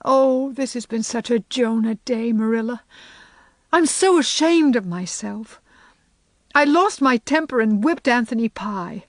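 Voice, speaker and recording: A woman's voice: on the high side, lively intonation, a little slow, no room sound, voice pushed up. A woman voicing an emotional young schoolteacher in an audiobook, speaking in a sobbing, pleading way. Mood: tearful. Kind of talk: storybook character reading.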